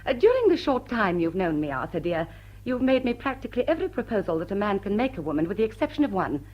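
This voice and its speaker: A woman's voice: mid-range voice, sing-song, fast talker, highs cut off. An actress playing a poised, witty society lady, arch and mock-sweet as she coolly rebukes, in a vintage drawing-room radio comedy.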